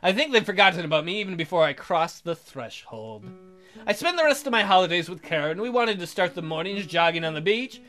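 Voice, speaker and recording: A man's voice: high-pitched, a bit bright. A man hosting a let's play, reading visual novel narration in an informal way and interrupting himself with casual commentary. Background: music with guitar.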